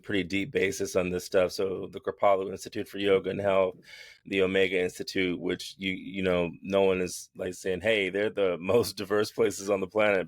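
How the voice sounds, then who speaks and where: A low-pitched man talks frankly as a guest on a conversational podcast. He is plain-spoken and laughs easily, and the sound is dry and close to the mic. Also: no room sound. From United States. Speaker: podcast guest, yoga teacher and activist. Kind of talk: candid conversational interview.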